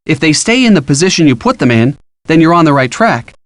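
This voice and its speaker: An upbeat young American man coaches a detective through an adventure game. His voice sits a little high and moves in a lively way, casual and encouraging, with playful asides. The sound is close and dry. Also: brisk, dry recording. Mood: helpful, casual. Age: young adult. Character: helpful upbeat college-age boyfriend giving detective hints. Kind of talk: scripted game hint dialogue.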